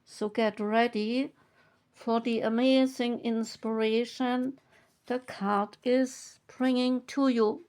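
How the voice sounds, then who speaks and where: A woman reading oracle card meanings aloud in a slow, measured, soothing way. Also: on the high side, steady tone, a little mellow. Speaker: tarot and oracle card reader.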